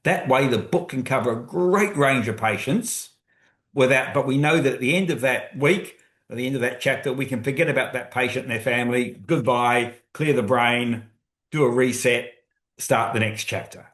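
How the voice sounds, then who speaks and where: A man's voice: medium pitch, moderate pace, fairly bright tone. Man explaining with an echoey room sound. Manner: explanatory, thinks aloud with restarts. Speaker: co-author guest speaker. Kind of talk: webinar talk. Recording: roomy echo.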